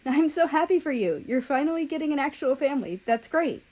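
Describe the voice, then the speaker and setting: A woman's voice: sits high, lively intonation, brisk, darkish tone, voice pushed up. A woman voicing a sweet, supportive young man with a gushing, enthusiastic manner. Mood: delighted.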